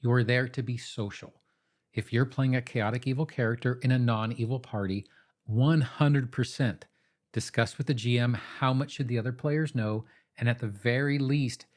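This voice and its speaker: A man narrating a scripted tabletop roleplaying explainer in a measured, instructive way, with casual asides, speaking directly to the listener. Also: medium pitch. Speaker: host of a tabletop roleplaying advice channel.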